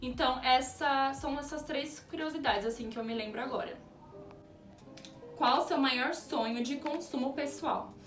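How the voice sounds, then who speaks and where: A woman's voice: high-pitched, moderate pace. A woman in her twenties from Brazil, chatting casually as she answers viewer questions in her vlog, with music playing behind. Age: 20s. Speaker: youtuber. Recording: roomy echo, distant mic, music behind. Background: background music.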